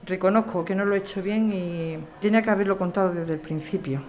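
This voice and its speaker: A woman's voice: mid-range voice, a little fast, darkish tone, lightly acted. A woman acting an earnest, apologetic lawyer in an audio drama. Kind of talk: audio fiction podcast acting.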